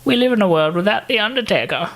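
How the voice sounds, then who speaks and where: Lively podcast guy, laughing. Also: high-pitched, quick pace, creaky. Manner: animated, laughs between phrases. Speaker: podcast co-host. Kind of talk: casual chat.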